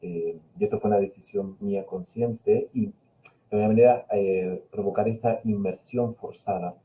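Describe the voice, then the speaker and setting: A man's voice: fairly low pitch, steady tone, moderate pace, mellow, rough recording. A middle-aged man from Spain, a Catalan speaker whose Peninsular Spanish has blended with Chilean Spanish, answering questions at a webinar in a reflective, hesitant way with many fillers. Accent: Peninsular Spanish mixed with Chilean Spanish. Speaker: invited speaker, university linguistics professor. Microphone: video call.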